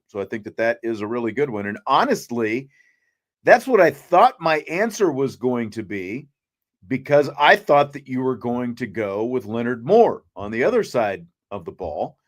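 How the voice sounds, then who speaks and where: A man's voice: medium pitch, sing-song, moderate pace. A man hosting a casual sports discussion, explaining his picks in a conversational way. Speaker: sports talk show host.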